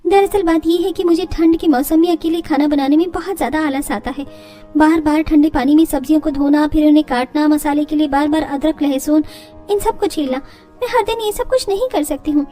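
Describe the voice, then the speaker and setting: A woman plays a young housewife in a storybook family tale. Her voice is very high, earnest and defensive, with a pleading, questioning manner over music. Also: a little fast, dark tone, close to an everyday voice. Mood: confessing.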